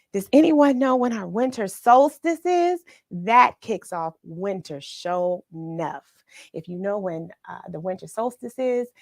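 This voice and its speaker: A middle-aged American woman hosting a children's read-along livestream, chatting in an encouraging, unhurried way straight to her viewers. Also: mid-range voice, gravelly, no room sound, clean studio sound. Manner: encouraging, unhurried, addresses listeners directly. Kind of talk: chatty livestream to viewers, greeting them by name.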